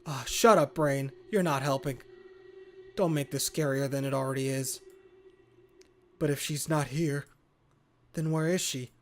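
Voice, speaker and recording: A man's voice: medium pitch, fairly even intonation, measured pace, long pauses, background noise. A man reading a horror visual novel aloud as a let's player, dramatic in narration and casual in his reactions.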